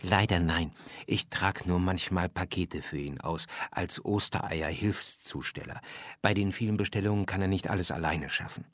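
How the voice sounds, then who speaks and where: A man's voice: low-pitched, normal speed, no room sound, clean studio sound, close to an everyday voice. A man voicing a big talking hare who helps deliver Easter eggs, matter-of-fact and insistent, in a storybook reading. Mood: worried, explaining.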